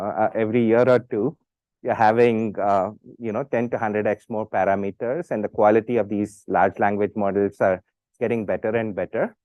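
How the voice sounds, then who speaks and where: Man, halting speech, muffled audio. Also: fairly low pitch, warm tone, no echo. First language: Tamil. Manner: hesitant, many fillers and restarts. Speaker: speaker at an institute talk. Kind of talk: talk with audience questions.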